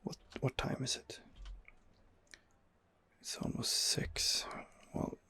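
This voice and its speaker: A man doing ASMR gameplay commentary, musing to himself in a hesitant, unhurried way. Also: pushed and bright. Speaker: video game player narrating his playthrough. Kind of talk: ASMR game commentary, murmured in-character musing.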